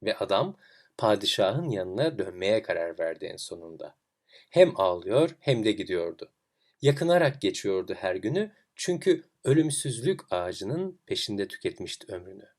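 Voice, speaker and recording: Low-voiced, highly expressive male storyteller. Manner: theatrical, expressive, deliberate phrasing. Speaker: storyteller, host of a tale series. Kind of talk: dramatic literary fairy-tale narration.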